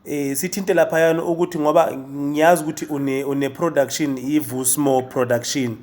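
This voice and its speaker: A South African man hosts a casual interview in a somewhat high-pitched voice. He speaks haltingly, often repeating small syllables before he gets a phrase out. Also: steady tone, darkish tone. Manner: halting, repeats syllables while forming questions. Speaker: interviewer, host.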